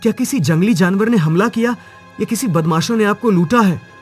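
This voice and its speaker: A man's voice: sits high, a little fast, breathy edge, soft background music, pitched above the performer's own voice. A man voicing a kind, selfless village husband in a cartoon moral story, earnest and emphatic. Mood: concerned.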